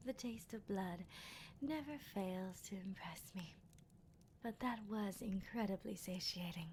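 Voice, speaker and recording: A woman voicing a possessive female vampire in an ASMR roleplay, breathy and often whispering, with a deliberate, teasing, commanding manner. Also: medium pitch, a little mellow, a little hiss or hum, pitched below the performer's own voice, close to an everyday voice. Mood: satisfied, possessive. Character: predatory female vampire, possessive, coolly menacing. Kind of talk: ASMR roleplay, intimate monologue.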